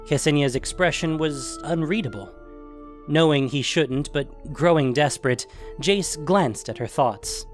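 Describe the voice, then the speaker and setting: A man's voice: fairly high pitch, unhurried, faint music behind. A man narrating a scripted fantasy adventure story.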